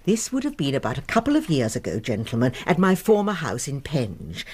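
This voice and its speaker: A woman's voice: rumbling low, wildly swooping pitch, brisk, darkish tone, husky, full character voice. An actress playing a proper, middle-aged English maiden lady in a radio drama, precise and easily flustered. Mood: prim, hesitant. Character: prim, indignant fifty-year-old maiden lady.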